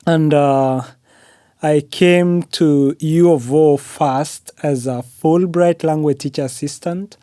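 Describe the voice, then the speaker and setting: A man's voice: on the high side, steady tone, takes it slowly, dark tone, clean tone, no echo, studio quality. A man from Kenya with a Kenyan accent, whose first language is Swahili, speaking earnestly as a podcast guest with frequent fillers like "uh" and "you know". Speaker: podcast guest, graduate student. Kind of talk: interview conversation.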